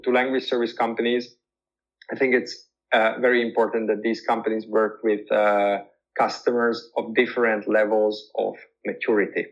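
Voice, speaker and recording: A man whose first language is Hungarian, answering interview questions thoughtfully and repeating words as he searches for phrasing. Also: fairly low pitch. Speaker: guest. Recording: dull lo-fi audio.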